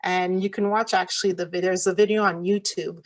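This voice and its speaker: A woman's voice: fairly low pitch, fairly even intonation, a little fast, poor audio. A middle-aged woman whose first language is French, a quilt shop owner giving a casual talk over slides on a video call, with many fillers and restarts. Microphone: computer mic on a video call.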